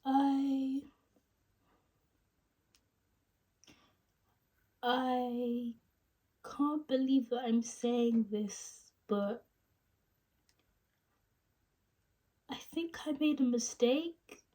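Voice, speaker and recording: A woman playing a regretful newlywed wife in a dramatic monologue, confessing in a halting, emotional, vulnerable way. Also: high voice, mellow, distant mic, voice pushed up. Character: regretful newlywed wife tearfully ending her marriage.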